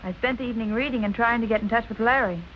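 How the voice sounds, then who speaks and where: A woman's voice: on the high side, very fast, fairly bright tone, slight background noise, close to an everyday voice, rough recording. An actress playing an anxious, guarded young woman in 1940s film noir style, polite and earnest. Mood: guarded, nervous. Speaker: actor.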